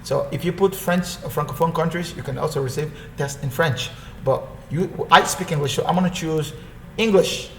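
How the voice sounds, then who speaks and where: A man hosting a casual screen-share walkthrough, rambling and correcting himself as he repeats phrases. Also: a little high. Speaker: online earning tutorial host. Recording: echoey room with faint background hum.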